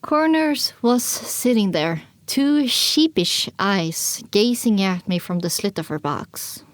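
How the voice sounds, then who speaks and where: A woman's voice: medium pitch, expressive, slow pace, dry recording. A woman reading scripted first-person dramatic narration for an audiobook.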